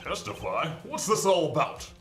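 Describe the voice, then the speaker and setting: A high, wildly swinging male voice for a flustered chief prosecutor, acted by a man. He sounds agitated and wary in a courtroom game. Music plays clearly behind him. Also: big pitch swings, moderate pace, a bit bright, roomy sound. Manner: agitated, questioning. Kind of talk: comedic fan dub of a courtroom video game.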